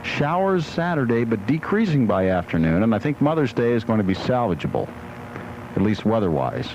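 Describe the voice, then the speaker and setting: A man's voice: medium pitch, big pitch swings, fairly quick, darkish tone. A man working as a radio forecaster, giving a chatty weather report. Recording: dull AM radio aircheck, faint noise.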